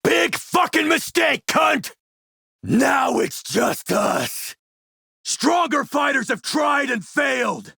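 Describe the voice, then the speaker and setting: A man playing a smooth, menacing military warlord and arms dealer in a video game, suave, commanding and measured. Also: very high voice, expressive, pushed and bright, dry recording, shouting, studio quality, heavily acted. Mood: furious battle shouting. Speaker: villain character in an action role-playing game. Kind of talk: acted video game dialogue.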